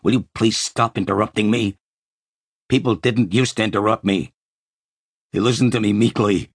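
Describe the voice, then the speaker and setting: A man's voice: lowish voice, steady tone, moderate pace, husky, no echo, clean studio sound, voice pushed up. A man voicing an irascible, imperious old male tycoon in an audiobook, blustering with indignation. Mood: indignant. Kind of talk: audiobook character acting.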